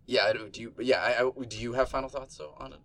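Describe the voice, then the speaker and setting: A man's voice: medium pitch, steady tone, quick pace, creaky, a bit bright. A man in his twenties co-hosting a casual podcast, rambling and cutting himself off, anxious and sweaty in a small hot room. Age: 20s. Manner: rambling, self-interrupting, fidgety. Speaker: podcast co-host. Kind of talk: casual chat.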